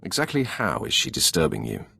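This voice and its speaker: An actor playing a commanding Sith lord in a video game, gravelly, with a curt, dry, authoritative manner. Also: male voice, very deep voice, wildly swooping pitch, fairly quick, sharp tone, full character voice. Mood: measured approval. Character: commanding male Sith lord, imperious superior officer. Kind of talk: scripted video game dialogue.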